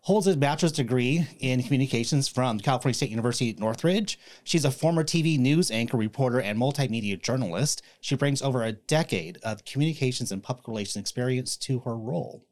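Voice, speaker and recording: A man's voice: mid-range voice, fast talker, hardly any pauses, a little mellow. A man co-hosting a podcast, reading the sponsor spot and joking casually with the guest. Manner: playful, slangy banter. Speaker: podcast co-host.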